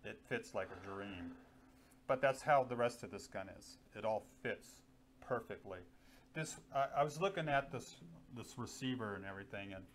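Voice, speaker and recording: A man's voice: fairly low pitch, slight background noise. A middle-aged man chatting casually about gear, easygoing and enthusiastic, with lots of fillers, on a lapel mic in a small studio. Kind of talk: casual product chat. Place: small indoor studio with guns on wall.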